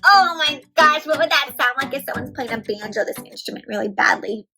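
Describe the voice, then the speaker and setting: A woman with a high voice reads a picture book aloud to children. Her pitch moves up and down a great deal as she expressively coaxes young listeners with questions. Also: expressive, a little fast, sharp tone, soft background music. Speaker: children's storybook reader. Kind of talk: interactive read-aloud for children.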